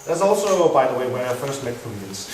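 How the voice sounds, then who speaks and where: A young man whose first language is Danish fields questions at a talk, stopping and restarting as he searches for words. He sits well back from the microphone in a very echoey hall, with steady noise behind him. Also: medium pitch, fairly quick. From Denmark. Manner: hesitant, many fillers and restarts. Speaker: guest speaker. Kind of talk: live Q&A answers. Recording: echoey hall, mic far off, noisy.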